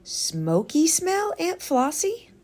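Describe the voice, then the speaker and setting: A woman's voice: very high voice, wildly swooping pitch, slow pace, a little mellow, pitched above the performer's own voice, exaggerated voice. A woman voicing a playful, inquisitive little girl in a storybook, enthusiastic and sing-song. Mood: curious.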